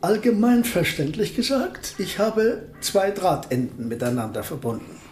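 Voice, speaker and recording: An actor playing an elderly, absent-minded physicist professor who talks in a measured, lecturing way. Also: male voice, high-pitched, animated, darkish tone. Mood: explaining. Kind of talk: radio play acting.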